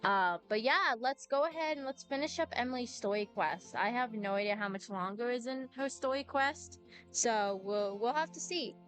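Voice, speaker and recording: A woman's voice: on the high side, normal speed, fairly bright tone. A young adult woman chatting casually and informally as she livestreams a game, rambling from thought to thought. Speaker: game streamer. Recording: game music behind voice. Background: video game music.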